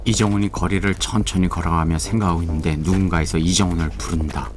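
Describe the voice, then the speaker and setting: Deep-voiced male drama narrator. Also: fast talker. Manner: steady, descriptive storytelling. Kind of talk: scripted narration. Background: background music.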